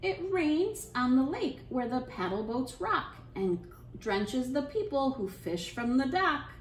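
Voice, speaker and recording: A woman hosting an interactive children's storytime, speaking in an encouraging, sing-song way and pausing with questions for young listeners. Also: high voice, very animated, reverberant, a little hiss or hum. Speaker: children's storytime librarian and host. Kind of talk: interactive children's storytime, reading aloud and leading songs.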